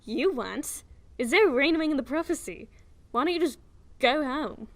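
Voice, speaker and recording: A woman voicing a vain, bubbly, naive young dragon princess in an airy, girlish way for an animated fan dub. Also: high-pitched, normal speed. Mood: curious, breezy. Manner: airy, self-satisfied, girlish.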